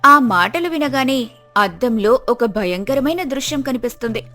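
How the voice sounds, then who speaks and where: Woman telling a moral story, highish voice, bit of music behind her. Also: expressive, normal speed, pushed and bright. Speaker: storyteller narrator. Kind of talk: scripted narration of a Telugu moral tale.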